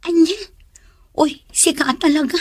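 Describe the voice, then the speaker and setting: A woman's voice: high-pitched, fairly even intonation, unhurried, darkish tone. A woman acting a bashful, protesting grown daughter in a radio drama.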